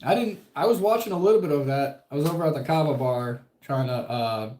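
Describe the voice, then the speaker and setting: A man's voice: on the high side, brisk, a little mellow, reverberant. A young man from Ohio hosting a casual livestream, chatting with viewers in a laid-back, profane way, his voice a little strained. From Toledo, Ohio, United States. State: voice strained, worried about losing it. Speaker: livestream host. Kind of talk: casual livestream chat reading viewer comments.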